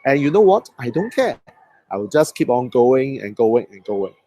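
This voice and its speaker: A man's voice: medium pitch, big pitch swings, normal speed, mellow. Hong Kong-accented man coaching on a live stream. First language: Cantonese. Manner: motivational, asks the audience questions. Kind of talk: Facebook Live talk.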